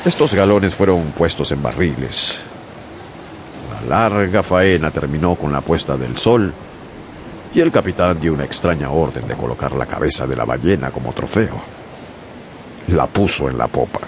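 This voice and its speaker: A man narrating a radio adaptation of a sea story in a measured storytelling voice. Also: very deep voice, expressive, vocal fry, background noise. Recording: music bed under the voice.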